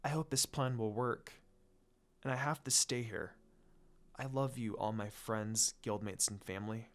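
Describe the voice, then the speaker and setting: A man's voice: lowish voice, fairly even intonation, measured pace, fairly bright tone, pitched below the performer's own voice. A man voicing an earnest, devoted teenage girl wizard in a story reading, with a sincere, pleading manner.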